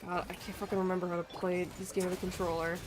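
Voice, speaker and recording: A livestreamer with a feminine-sounding voice giving casual, sweary, playful and expressive commentary over a video game. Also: medium pitch, fast talker.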